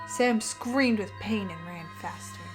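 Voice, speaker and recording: A woman co-host reading stories aloud dramatically, expressive and playful, often breaking off into casual asides. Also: medium pitch, measured pace, faint music behind. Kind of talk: dramatic story reading mixed with casual banter.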